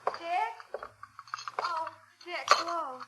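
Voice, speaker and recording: An American actress playing a loyal, long-suffering, anxious wife in a 1940s radio drama, earnest and pleading. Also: female voice, very high voice, expressive, very slow, a loud crowd, exaggerated voice. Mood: wary, placating.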